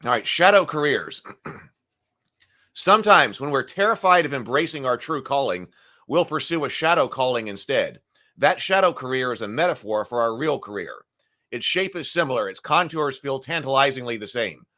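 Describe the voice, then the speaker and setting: A middle-aged man hosting a casual morning live stream, his voice gravelly today, clearing his throat often and thinking aloud with fillers. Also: medium pitch, expressive, moderate pace, bright, forceful tone.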